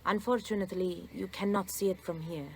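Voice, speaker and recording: A woman plays a gentle, practical Italian caretaker in a video game. She has an Italian accent and a fairly low voice, with faint music behind. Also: fairly even intonation, brisk, natural voice. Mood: soothing, matter-of-fact.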